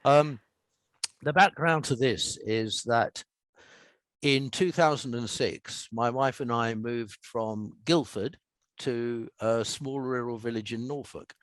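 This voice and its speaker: An older man with an English accent being interviewed as a writer, telling anecdotes and explaining in a measured, emphatic, conversational way. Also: mid-range voice, fairly bright tone, no room sound. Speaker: author and radio scriptwriter being interviewed.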